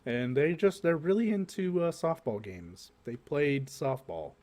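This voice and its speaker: A man's voice: fairly high pitch, expressive, a little slow, a little mellow. A man co-hosting a podcast in casual group chat, speaking informally with some swearing. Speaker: podcast co-host.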